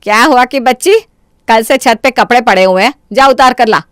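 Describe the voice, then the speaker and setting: A woman voicing a spiteful, scheming middle-aged mother-in-law in a cartoon story, with a sharp, taunting, bossy delivery. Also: a little high, normal speed, smooth, no room sound, clean studio sound, close to an everyday voice. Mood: angry scolding. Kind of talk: Hindi cartoon story dialogue.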